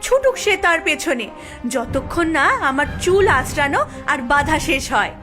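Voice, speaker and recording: A woman voicing a gentle, sorrowful young princess living as a servant in a cartoon fairy tale, plaintive and earnest. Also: high voice, big pitch swings, a little slow, music in the background. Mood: firm, commanding.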